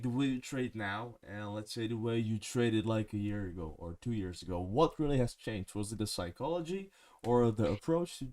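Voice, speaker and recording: A man's voice: on the low side, a little mellow. A man hosts an interview in English. He is friendly and keeps the questions going. He leans on "uh" between phrases as he talks. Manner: friendly, asks questions with frequent "uh" fillers. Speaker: interview host. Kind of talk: hosted interview over video call.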